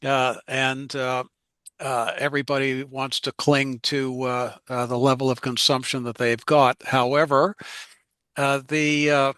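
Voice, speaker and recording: A man's voice: mid-range voice, level tone, a little slow, a bit bright, smooth, no echo. An older man asking a rambling question in an online Q&A, with many fillers. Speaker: audience member asking a question.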